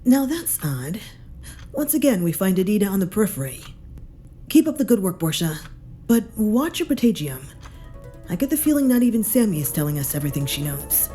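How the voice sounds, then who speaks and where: A woman's voice: mid-range voice, sing-song, a little fast, warm tone, soft background music, close to an everyday voice. A woman playing a stern commanding officer who speaks curtly with authority. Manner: curt, authoritative. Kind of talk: audio drama acting.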